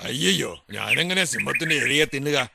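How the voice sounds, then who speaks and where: A man voicing a timid, hungry leopard, anxious and fearful, in an animated children's story. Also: mid-range voice, moderate pace, gravelly, heavy background noise. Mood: frightened.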